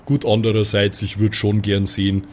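A man with a southern German accent playing a gruff, easygoing, beer-loving old soldier in an improvised role-play, rambling and folksy. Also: lowish voice, completely flat delivery, moderate pace, a little mellow, a little hiss or hum, lightly acted. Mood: skeptical, grumbling.

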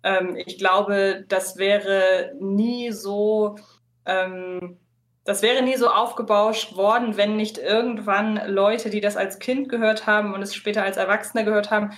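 A woman's voice: mid-range voice, fairly even intonation, unhurried. A woman in a casual podcast conversation, talking in a wordy, explanatory way. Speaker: podcast co-host appearing as guest.

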